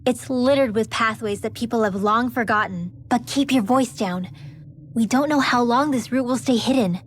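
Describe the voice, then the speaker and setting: A woman's voice: fairly high pitch, a little hiss or hum, close to an everyday voice. A woman voicing a cautious young rebel girl. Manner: brisk, cautious, urgent. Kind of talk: video game voice acting.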